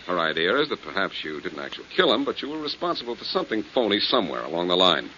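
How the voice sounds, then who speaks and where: A man's voice: sits low, very animated, racing, bright, forceful tone, heavily acted. A man playing a cocky, wisecracking detective with a glib, needling manner in a 1940s radio drama. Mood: mocking menace. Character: cocky, wisecracking amateur detective, teasing and quietly menacing. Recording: muffled, noisy 1940s radio recording.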